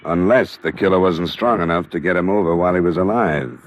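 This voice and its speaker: An actor playing a cool, terse American insurance investigator in a 1950s radio drama, with a dry, matter-of-fact delivery. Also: male voice, low-pitched, a little fast, a little hiss or hum, natural voice. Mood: cool, inquiring. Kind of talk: 1950s radio detective narration and dialogue. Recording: dull vintage radio recording.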